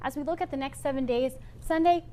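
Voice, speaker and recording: A woman with a fairly high voice delivers a weather forecast. She speaks as a TV forecaster working without a tight script. Light noise sits in the background. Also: fast, a little mellow.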